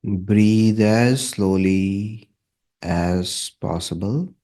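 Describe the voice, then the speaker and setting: A man leading a guided meditation in a calm, measured manner, leaving long pauses between short phrases. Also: on the low side.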